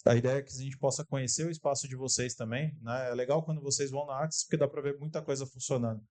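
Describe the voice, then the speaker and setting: A man's voice: mid-range voice, fairly even intonation, fast, a little mellow. A man speaking for his company at a live corporate event, friendly and inviting, often checking in with the audience. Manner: friendly, inviting, frequent tag questions. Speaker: company representative presenting.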